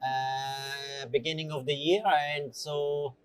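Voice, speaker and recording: A middle-aged man from Switzerland chatting as an interview guest, speaking in a halting, conversational way with frequent "you know" asides. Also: a little high, level tone, clear, resonant tone, dry recording, soft background music. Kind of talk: casual interview answers.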